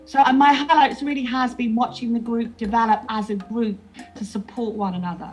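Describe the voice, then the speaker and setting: A woman's voice: on the high side, a little mellow, poor audio. A woman in an interview, talking earnestly about training storytellers, often restarting her sentences. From England. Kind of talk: reflective interview answer.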